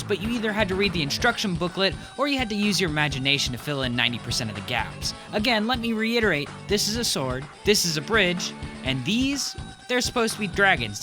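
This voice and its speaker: A narrator telling a comedic video essay with wry, animated asides. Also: medium pitch.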